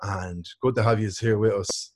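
A man's voice: lowish voice, fairly even intonation, normal speed. A young man co-hosting a podcast, rambling and joking with a lot of swearing in loose banter. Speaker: podcast co-host. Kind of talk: casual chat.

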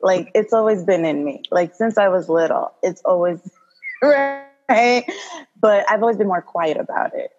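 A woman from Congo, a doula and podcast guest, talking in a calm, direct way and laughing often. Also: medium pitch, a little slow, highs cut off, faint music behind. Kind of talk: casual podcast interview.

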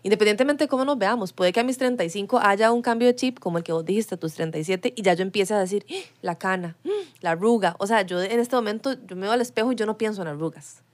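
A woman talks in an easy middle voice. She rambles warmly through her thoughts, filling the gaps with little connecting words. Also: mid-range voice, quick pace. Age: 30s. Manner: chatty, rambling, full of fillers like "o sea". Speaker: panelist. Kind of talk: casual panel chat.

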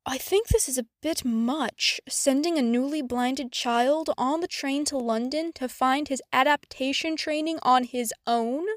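A woman's voice: high voice, a little slow, hardly any pauses, dry recording, clean studio sound. A woman voicing a concerned, protective, courteous father in a storybook reading. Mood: disapproving, reluctant.

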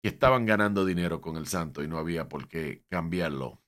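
A man's voice: low-pitched, fairly quick, a bit bright. A man hosting a show, talking unscripted about film and wrestling history, rambling with frequent restarts and an emphatic, opinionated delivery.

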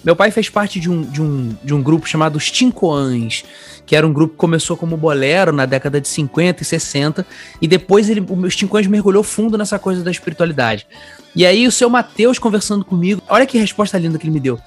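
A man's voice: a little high, fast talker, husky, soft background music. A man with a Carioca accent hosting a casual podcast chat, speaking in an effusive, informal way. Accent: Carioca (Rio de Janeiro) Brazilian Portuguese. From Brazil, born in Bahia, raised in Rio de Janeiro. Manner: effusive, informal, addresses guest affectionately. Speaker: podcast host.

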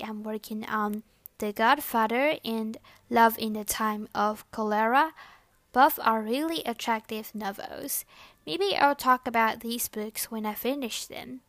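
Young woman, first language Chinese, podcast host, earnest, soft-spoken, somewhat high voice, dry close recording. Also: no room sound. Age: young adult. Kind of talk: reflective personal podcast monologue.

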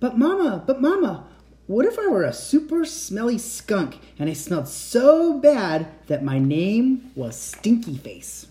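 A man's voice: huge pitch swings, measured pace, mellow, exaggerated voice. A man voicing a small boy who keeps asking his mother insistent questions at bedtime. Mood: pleading. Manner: high, pleading questions.

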